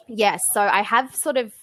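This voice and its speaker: Young Australian woman, English tutor, relaxed chatty manner, video-call sound. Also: fairly high pitch. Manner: relaxed, chatty, many fillers. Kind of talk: casual online lesson chat.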